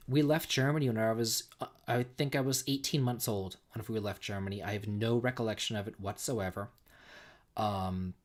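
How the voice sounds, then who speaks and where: A man in his forties hosting a casual livestream, a bit tipsy, rambling and repeating himself while telling stories and reading chat. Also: mid-range voice. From United States. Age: 40s. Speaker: livestream host.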